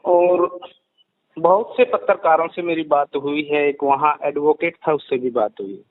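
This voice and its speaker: A man with a fairly high voice speaks as an interviewee on a recorded phone call. He is earnest and repeats his points, and the line is narrow and muffled, with no room sound. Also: a little slow, no room sound. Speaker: rationalist society president being interviewed by phone. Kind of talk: phone interview, explaining a case.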